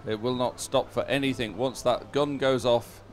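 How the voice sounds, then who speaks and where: A male commentator covering a live sporting event with a mid-pitched voice. He speaks off the cuff, haltingly and with dry self-deprecation. Faint ambient noise sits behind him. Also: steady tone.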